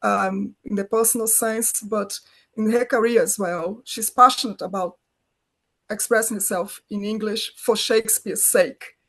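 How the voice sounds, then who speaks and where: A woman's voice: mid-range voice, fairly even intonation, measured pace, fairly bright tone. A middle-aged woman from Brazil, a native Portuguese speaker, talking as a filmmaker guest in an interview, often restarting her phrases as she searches for words. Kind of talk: conversational interview.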